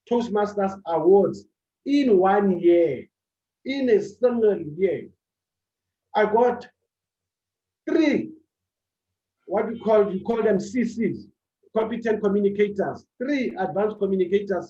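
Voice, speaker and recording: A man's voice: high-pitched, warm tone. A man giving a motivational speech at a public speaking club, delivering short emphatic phrases and repeating his key points. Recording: echoey room, dull sound.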